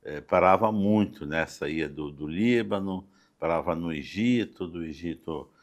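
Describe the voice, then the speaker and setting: An older Brazilian man speaking as a guest in a conversational podcast interview, halting and often adding small check-in tags. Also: low-pitched. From Campo Grande, Brazil. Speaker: interview guest, doctor and city councillor. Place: podcast studio.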